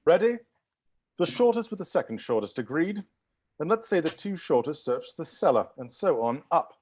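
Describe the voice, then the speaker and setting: A man's voice: fairly high pitch, very animated, normal speed, darkish tone, husky. A man playing a flustered, evasive butler in a comedy script read-through. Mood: brisk, organising. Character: flustered, evasive butler taking charge of guests.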